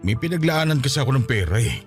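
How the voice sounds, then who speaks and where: A man's voice: mid-range voice, big pitch swings, quick pace, soft background music, natural voice. A man acting a short-tempered, defensive, drinking Filipino father in a radio drama, with a blustering, indignant manner. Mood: apologetic, evasive.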